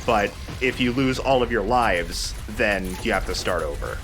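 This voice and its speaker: A man's voice: fairly low pitch, normal speed, music in the background. A man giving casual, chatty gameplay commentary, explaining things as he plays and swearing casually. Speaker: let's play host and player.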